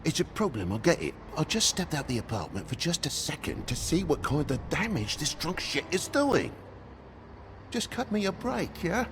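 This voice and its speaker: Flustered British talking toad, wildly expressive. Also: male voice, on the high side, normal speed, background noise. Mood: pleading, defensive. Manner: pleading, grumbling, excitable. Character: flustered foul-mouthed talking toad, a family man.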